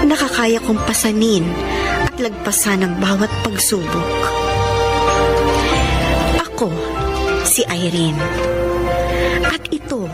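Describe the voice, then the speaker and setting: A reflective mother played by an actress narrates her life in a medium-pitched voice, measured and heartfelt, in radio drama style. Loud music runs under her words. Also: lightly acted.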